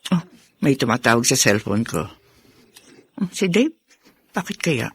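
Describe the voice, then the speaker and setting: A woman playing a doting, easily worried middle-aged mother and grandmother in a Filipino radio drama, with expressive, exclaiming delivery. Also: extremely low pitch, a little slow, breathy edge, exaggerated voice. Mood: musing. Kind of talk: Filipino radio melodrama acting.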